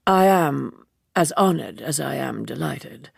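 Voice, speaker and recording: A woman voicing a dignified older gentleman author in an audiobook, wry, ceremonious and deliberate. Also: rumbling low, lively intonation, vocal fry, husky, no echo, clean studio sound, voice pushed down, full character voice. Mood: wry formality. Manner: ponderous, formal, deliberate.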